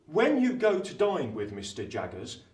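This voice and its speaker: A man playing a dry, deadpan Victorian law clerk, speaking deliberately in a radio play. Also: medium pitch, distant mic. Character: dry, matter-of-fact male London law clerk. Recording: echoey room, off the mic.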